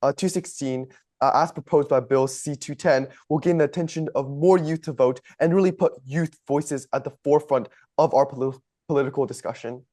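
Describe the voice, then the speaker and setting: A man's voice: medium pitch, fairly quick, mellow. A young man hosting an interview, asking prepared questions in a polite, earnest way. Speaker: interviewer, podcast host.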